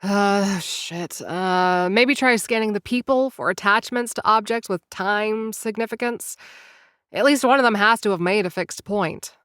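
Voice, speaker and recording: A woman's voice: medium pitch, unhurried, fairly bright tone, clear, resonant tone, dry recording, studio quality. Wry, flustered woman narrator. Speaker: time-traveler narrator addressing listeners. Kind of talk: audio drama narration and acting.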